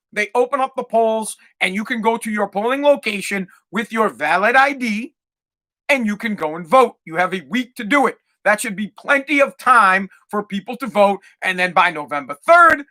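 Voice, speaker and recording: A man's voice: extremely high pitch, sharp tone. A male podcast host delivering a profane, fired-up rant with lots of repetition. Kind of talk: ranting monologue.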